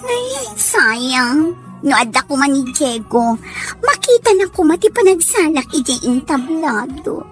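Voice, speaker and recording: A lovestruck young woman played by an actress in an audio drama, pining for her man. Her voice is extremely high and girlish, with faint music behind it. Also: very animated, measured pace. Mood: yearning. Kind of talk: acted dialogue in an audio drama.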